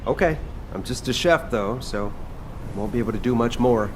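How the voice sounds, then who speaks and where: An actor playing a reluctant, casual chef fighter in a video game, informal and given to grumbling. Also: male voice, mid-range voice, moderate pace, background noise, close to an everyday voice. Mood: easygoing.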